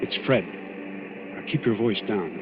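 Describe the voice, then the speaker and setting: A man's voice: lowish voice, slow pace. A man playing a resolute, level-headed naval commander in danger, in 1940s radio drama style. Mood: hushed, urgent. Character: resolute, calm male naval commander in peril. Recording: muffled, noisy old radio recording.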